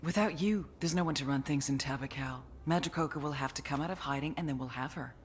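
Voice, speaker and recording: An actress playing a tough, sardonic, foul-mouthed American intelligence agent in a video game cutscene, blunt and threatening. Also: female voice, low-pitched, fast talker, a little hiss or hum, close to an everyday voice. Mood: menacing. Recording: dramatic music behind.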